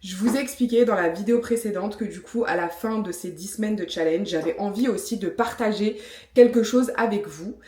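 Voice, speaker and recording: A chatty woman in her thirties from France, talking straight to camera with lots of little fillers and playful self-mockery. Her voice sits at an ordinary middle pitch. The room around her rings with a noticeable echo. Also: a little fast, dark tone. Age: 30s. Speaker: vlogger. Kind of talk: casual vlog talk with product review. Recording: echoey room.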